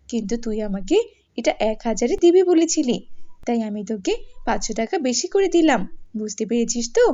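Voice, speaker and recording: A woman's voice: a little high, dark tone, muffled recording. A woman voicing a kindly, outspoken village aunt in a cartoon fairy tale, effusive and gossipy.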